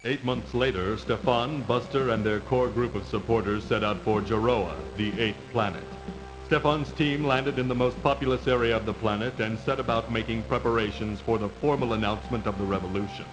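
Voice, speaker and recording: A man delivers scripted, dramatic narration for a video game. He is formal and measured, with an average pitch. Music plays clearly behind him. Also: low-quality recording.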